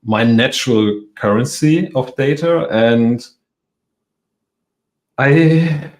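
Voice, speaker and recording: A man's voice: mid-range voice, drawn-out words. A man from Europe, a native German speaker, talking as a guest in a casual interview, hesitant with self-deprecating laughs.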